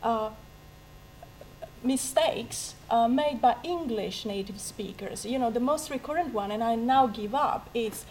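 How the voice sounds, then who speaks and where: A woman with an Italian accent answers a question at a live panel debate in a slightly high, lively voice. She talks with many restarts and pokes fun at herself. A faint room ambience sits behind her. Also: measured pace, slight background noise, low-quality recording. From Italy. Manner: lively, self-mocking, laughs often, many restarts. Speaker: university language teacher on a debate panel.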